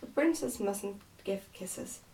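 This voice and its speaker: A woman's voice: medium pitch, animated, slow, gravelly, mellow, far from the mic, poor audio. A woman voicing a fussy, strict nursemaid from a storybook, with a prim, scolding manner. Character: fussy, strict nursemaid minding a young princess.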